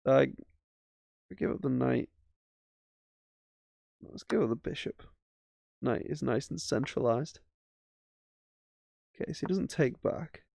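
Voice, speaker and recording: A man's voice: mid-range voice, lively intonation, slow, vocal fry, pauses often, darkish tone, no echo, clean studio sound. A man casually commentating his own chess games as he plays, thinking aloud with self-mocking asides. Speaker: chess streamer.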